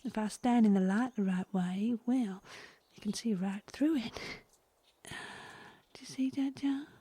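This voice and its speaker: A woman playing a flirty frontier wife and mother in a comic western audio drama, given to breathy asides and sighs. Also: mid-range voice, slow, warm tone. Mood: sultry, flirty. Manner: breathy asides, sighing, gushing.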